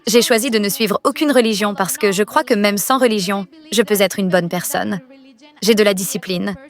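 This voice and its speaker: Earnest young woman, native Italian speaker. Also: medium pitch. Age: young adult. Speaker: audience member asking questions. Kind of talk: audience Q&A.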